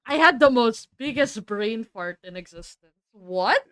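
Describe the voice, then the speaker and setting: A woman chatting casually on a game live stream, amused and quick to react with exclamations. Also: fairly high pitch, lively intonation, fairly bright tone, smooth, no echo.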